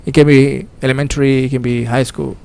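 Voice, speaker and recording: Gravelly male host. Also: mid-range voice, flat delivery, a little mellow. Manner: friendly, laughs often, halting English. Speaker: radio host. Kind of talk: casual on-air chat in English.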